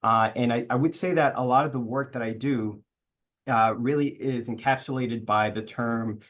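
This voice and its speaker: A man from New York City speaking on a webinar panel over a video call. His pitch sits in the middle, and he speaks haltingly, pausing and restarting often. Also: fairly even intonation, fairly bright tone. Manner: hesitant, many uh fillers and restarts. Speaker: panelist, public health professor. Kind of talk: self-introduction on a webinar panel.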